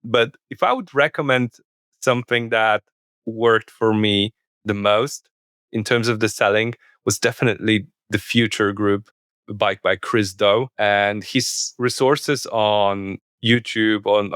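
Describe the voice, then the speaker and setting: A man with a Polish accent, speaking English as a second language as a podcast guest, halting with many restarts. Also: on the low side, steady tone, a bit bright, dry recording. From Poland. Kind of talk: podcast interview.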